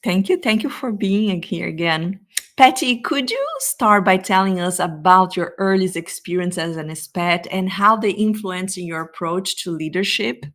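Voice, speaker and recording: A woman's voice: mid-range voice, normal speed, fairly bright tone. A woman hosting an interview podcast, giving a prepared introduction and posing polite questions to a guest. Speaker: podcast host.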